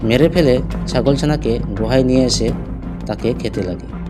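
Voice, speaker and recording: A man's voice: mid-range voice, normal speed. A man narrating a scripted cartoon fable as the storyteller, with music behind him.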